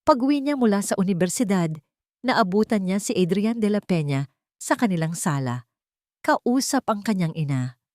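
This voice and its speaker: A woman narrating a scripted romance drama story in a steady, measured reading. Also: mid-range voice, no room sound, studio quality.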